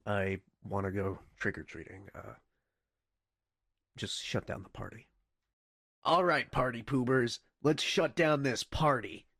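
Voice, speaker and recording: A man playing a wry, bossy headquarters dispatcher in a video game, giving deadpan official orders with goofy, sarcastic asides. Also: medium pitch, a little slow, creaky, long pauses, dry recording, clean studio sound. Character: wry, bossy, easily exasperated male headquarters dispatcher sending prisoners on missions. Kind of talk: video game mission briefing voice lines.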